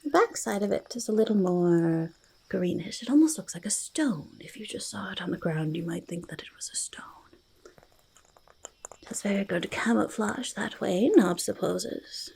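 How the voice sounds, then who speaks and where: A woman voicing a quirky, treasure-loving goblin in a soft ASMR roleplay, slipping between soft speech and breathy whispers, repeating words in a quaint, halting way. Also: mid-range voice, lively intonation. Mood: fascinated, delighted. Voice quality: breathy half-whisper with creaky fry. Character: quirky, treasure-loving, mildly menacing forest goblin, speaking in third person.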